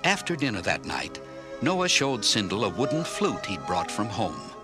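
A man narrating a children's story in an expressive, clearly enunciated read-along style. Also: on the low side, moderate pace, music in the background. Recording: orchestral film score under narration.

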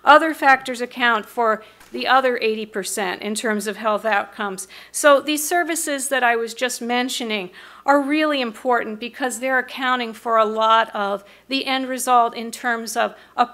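A middle-aged woman with an American accent gives an explanatory prepared presentation at a podium microphone, pausing hesitantly at times. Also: on the high side, pushed and bright. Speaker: mental health board member presenting to city council. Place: large council meeting room, indoors.

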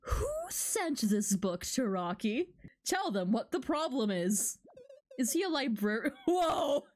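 A woman's voice: fairly high pitch, very animated, slow, darkish tone. A woman streamer reading video game dialogue aloud with casual commentary, in a giggly manner that often breaks up her words. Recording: music behind the voice. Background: video game music.

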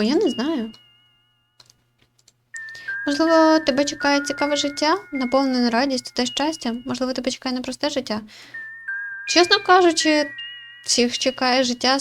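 A woman's voice: high voice, noisy background. A woman giving casual, playful game commentary, reading dialogue aloud and adding muttered asides in a chatty way. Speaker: gaming video creator, player. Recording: music behind the voice.

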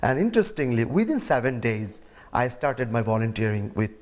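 A man's voice: medium pitch, very animated, brisk, a little mellow. Man in his 40s, interview answer indoors, earnest and methodical, repeats phrasing. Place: indoor room.

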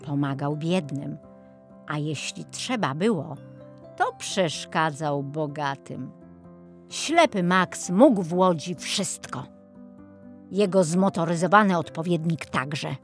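A woman narrating a children's audiobook as an expressive storyteller. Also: deep voice, slow pace, soft background music.

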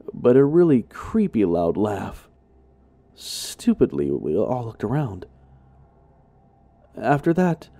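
Male narrator for horror stories: very lively pitch, unhurried, close dry sound. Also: no room sound. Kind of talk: dramatic scripted narration.

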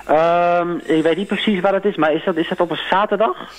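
A man's voice: high voice, fairly even intonation, brisk, poor audio. A man calling in to a radio show, chatting casually in a polite, tentative way. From Netherlands. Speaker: radio caller. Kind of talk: casual phone-in chat.

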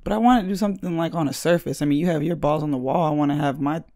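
A woman in her thirties with a very deep voice, chatting casually and laid-back as a podcast guest, slangy and cursing freely. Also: racing, a little mellow, no echo, studio quality. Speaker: guest co-host.

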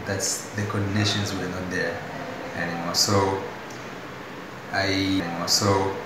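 A young man from Tanzania with a Tanzanian accent, telling his story as a patient in a hospital room, halting and full of restarts. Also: low-pitched, flat delivery. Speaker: patient giving testimonial. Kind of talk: unscripted spoken testimonial. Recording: very echoey room with audible noise.

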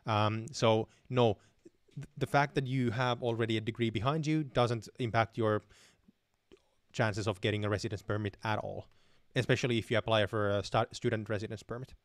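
A man's voice: lowish voice, moderate pace, no room sound. Young male host, casual live-stream Q&A, hesitant fillers, dry close-miked sound. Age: young adult. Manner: relaxed, many fillers and restarts, reads chat questions aloud. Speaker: channel host answering viewer questions. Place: dim home room with shelves. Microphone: studio mic on boom arm close to mouth.

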